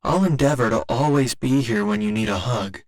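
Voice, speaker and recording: A man voicing a gentle robot boyfriend in an ASMR roleplay, with formal, clinical phrasing delivered tenderly and a little hesitantly. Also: medium pitch, normal speed, lightly acted. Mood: tender, earnest. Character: gentle male robot boyfriend learning to feel love.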